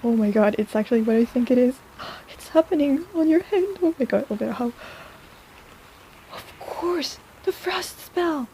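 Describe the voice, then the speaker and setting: A woman's voice: high voice, lively intonation, normal speed, dark tone, slight background noise, low-quality recording. A woman playing a snarky but caring apothecary in an intimate fantasy audio roleplay, chatty and teasing in a casual way. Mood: excited, amazed.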